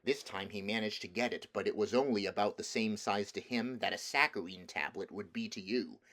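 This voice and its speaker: A man's voice: fairly low pitch, fairly quick, a bit bright. A man reading a children's storybook aloud as scripted narration. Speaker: audiobook reader.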